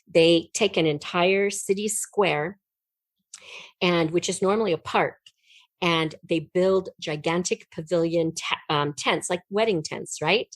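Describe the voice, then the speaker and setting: A woman's voice: medium pitch, no echo. Effusive woman, close dry sound. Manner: effusive, enthusiastic. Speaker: interview guest, writer. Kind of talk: animated conversational storytelling.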